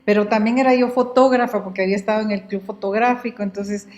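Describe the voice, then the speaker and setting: Unhurried older woman from Guatemala. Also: mid-range voice, steady tone, darkish tone, highs cut off. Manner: unhurried, often repeats and restarts words. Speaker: guest. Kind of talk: relaxed conversational storytelling.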